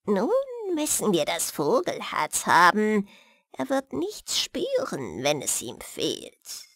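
A woman voicing a scheming, menacing old fairy-tale witch who commands in a drawn-out, threatening way. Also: medium pitch, wildly swooping pitch, a bit bright, dry recording, studio quality, heavily acted. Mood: conspiratorial. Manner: slow, drawn-out, threatening delivery.

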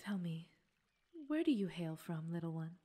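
Slow, breathy fey queen, acted by a woman. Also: on the low side, dark tone, exaggerated voice. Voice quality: breathy, often near a whisper. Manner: slow, soothing, slightly formal speech. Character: mysterious fey queen, gentle, coaxing, secretly possessive. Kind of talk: ASMR roleplay audio drama.

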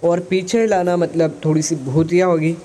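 A man with an Indian accent explaining software as a tutorial host, mixing in English phrases. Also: a little high, steady tone, normal speed, slight background noise.

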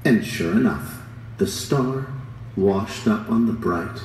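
A lower man's voice with lively, rising and falling pitch, reading a children's picture book gently. It is played back in an echoey gym and heard from across the room, with faint room noise. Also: slow, far from the mic. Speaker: read-aloud narrator.

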